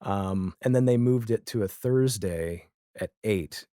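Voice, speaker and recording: Male American podcast guest, forties, low register, casual anecdotal delivery, dry recording. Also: unhurried, dark tone, dry recording. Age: 40s. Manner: chatty, acts out imagined dialogue. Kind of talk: casual anecdotal interview chat.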